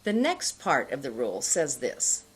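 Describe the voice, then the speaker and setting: A middle-aged woman with an American accent giving an instructional pronunciation lesson, enunciating carefully and deliberately with pauses between words. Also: very deep voice, huge pitch swings, slightly rough. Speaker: pronunciation teacher. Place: indoor studio.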